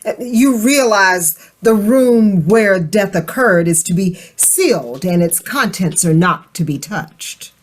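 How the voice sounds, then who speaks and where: Woman as slow-spoken, formal doctor. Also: medium pitch, lively intonation. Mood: formal, hesitant. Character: second doctor, formal, hesitant, official. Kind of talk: radio drama acting.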